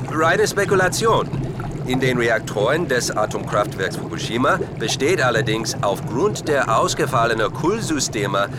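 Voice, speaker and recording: An actor playing a serious field correspondent in a radio drama, giving a live report in an earnest, factual, explanatory way. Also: male voice, medium pitch, heavy background noise, close to an everyday voice. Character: serious male field correspondent reporting a monster nuclear threat.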